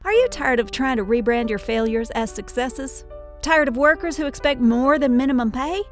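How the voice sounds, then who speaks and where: A woman playing an upbeat corporate announcer in a parody recruitment commercial, giving a polished, persuasive sales pitch. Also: normal speed, faint music behind, lightly acted. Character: slick, upbeat female corporate advert announcer.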